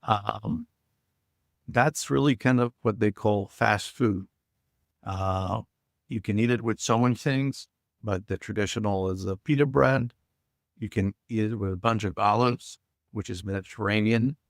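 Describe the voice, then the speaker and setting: A man's voice: on the low side, a little slow, creaky, no echo, studio quality. A middle-aged man from Israel, talking as a podcast guest with frequent hesitations and filler sounds. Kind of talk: casual interview talk.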